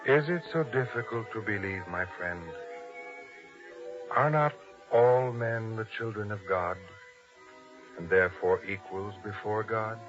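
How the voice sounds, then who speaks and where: A man's voice: lowish voice, measured pace, pauses often, natural voice. A man playing a devout, earnest guardian of a holy stable in a 1950s radio drama, speaking with reverence and pleading. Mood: gentle, solemn. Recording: muffled vintage radio drama recording, music behind.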